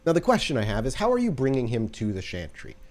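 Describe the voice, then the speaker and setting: A man's voice: mid-range voice, wildly swooping pitch, fast. Man, mid-range voice, game master, conversational tabletop narration, offers players choices.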